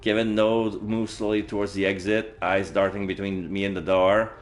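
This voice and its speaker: A man with a lowish voice streams a visual novel. He reads the text aloud and comments casually on his play, with faint game music behind him. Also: level tone, normal speed. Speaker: video game livestreamer.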